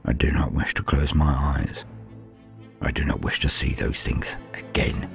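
Man as a haunted survivor, very low voice. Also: fairly even intonation, creaky, faint music behind, heavily acted. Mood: desperate dread. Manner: solemn, measured, dramatic pauses. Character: haunted, horror-struck male survivor giving testimony. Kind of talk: dramatic first-person horror narration.

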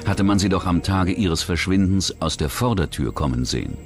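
Deep-voiced male true-crime narrator. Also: lively intonation, moderate pace. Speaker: documentary narrator. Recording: music under the voice. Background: background music.

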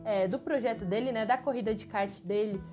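A young woman with a Brazilian accent hosting a motorsport channel, giving emphatic, expressive news commentary to camera from a room at home. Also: fairly high pitch, brisk, music in the background. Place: small furnished room at home, indoors.

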